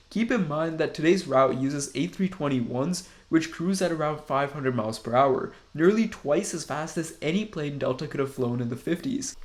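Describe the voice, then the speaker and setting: A young man giving a scripted, measured narration for an aviation explainer. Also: mid-range voice, a little mellow. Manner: measured, informative delivery.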